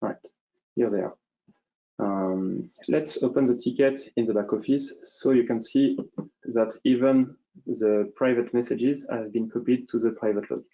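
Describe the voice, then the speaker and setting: A man with a soft, mellow voice at a middling pitch walks through a software demo. He explains step by step, hesitating and thinking aloud. The audio is somewhat dull, as on a web call. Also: steady tone, measured pace.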